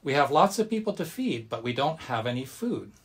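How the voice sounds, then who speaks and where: A man's voice: medium pitch, very animated, brisk, husky. A man voicing an exasperated but loving Hawaiian grandmother in a children's story, with emphatic exclamations. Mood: pleading, exasperated.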